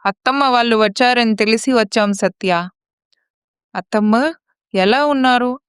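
A gentle, respectful young daughter-in-law played by a woman in a family story. Her voice is fairly high and smooth, with a dry sound. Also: unhurried, no room sound, lightly acted. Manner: respectful, conciliatory.

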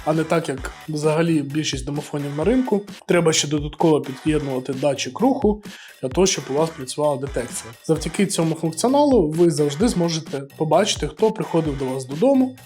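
A man's voice: a little high, darkish tone. A man presenting a product review in a casual, explanatory walkthrough style, with music playing under his voice. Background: background music.

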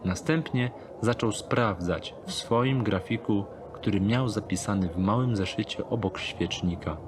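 A man reading scripted third-person narration for a dark thriller audiobook. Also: lowish voice, measured pace, background noise.

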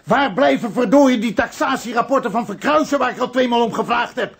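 An actor playing a domineering, quick-tempered older notary and father in a Dutch radio drama, blustering and scolding in a raspy voice. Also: very high-pitched, full character voice. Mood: impatient, scolding. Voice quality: gravelly, raspy.

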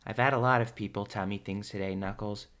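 A man's voice: lowish voice, steady tone, a little fast, a bit bright, lightly acted. A man playing a brash, teasing young cartoon hedgehog hero in a casual fan audio drama. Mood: weary.

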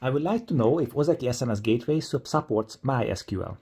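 A man's voice: mid-range voice, animated, fast, warm tone, husky. A man speaking as a caller in a demonstration phone conversation.

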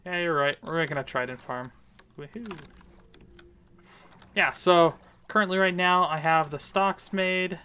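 A man's voice: a little high, a little slow, pauses often, bright, forceful tone, clear, resonant tone. A man giving casual, rambling commentary over his gameplay, with lots of fillers. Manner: rambling, many fillers, upbeat. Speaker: gaming video creator.

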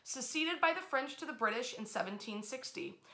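A woman in her thirties with a fairly high, very bright and forward voice. She tells history informally to camera as a host, with expressive emphasis, speaking in a small bedroom. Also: moderate pace. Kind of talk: informal storytelling to camera, part read aloud.

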